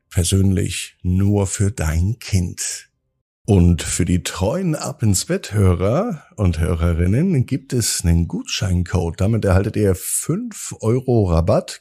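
Guy telling kids bedtime stories, lowish gravelly voice, draws words out for suspense. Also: mellow. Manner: addresses young listeners directly, dramatic pauses and drawn-out words. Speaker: children's podcast host and storyteller. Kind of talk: bedtime story narration for children.

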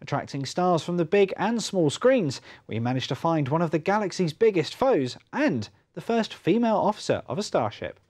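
Lively male reporter. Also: high voice, normal speed, few pauses, no echo. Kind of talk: scripted news narration.

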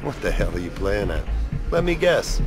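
A man's voice: fairly low pitch, sing-song, normal speed, music in the background. An actor playing a cynical, hard-drinking space prospector in a video game, with a sardonic, world-weary tough-guy manner. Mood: scornful, mocking. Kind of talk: video game cutscene acting.

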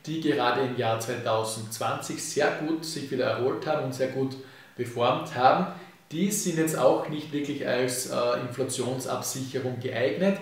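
Guy in his 30s explaining money stuff, lots of uhs, mic kinda far, very roomy echo. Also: medium pitch. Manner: relaxed, frequent fillers and "eben", hand gestures. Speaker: finance YouTuber. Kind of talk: casual explainer to camera. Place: home office with bookshelves, indoors. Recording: echoey room, distant mic.

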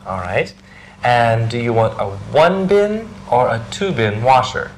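A young man speaks in a slow, carefully paced scripted dialogue for English learners. His voice moves up and down a lot, and the studio recording carries a faint background hiss. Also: mid-range voice, big pitch swings, rough recording. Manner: clear, deliberate delivery. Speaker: actor in English lesson dialogues.

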